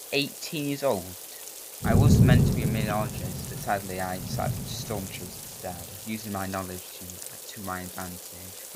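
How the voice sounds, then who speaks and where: Low-voiced man in casual storm-chaser banter. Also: noisy background. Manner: loose chatty talk with frequent restarts. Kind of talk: casual banter among friends.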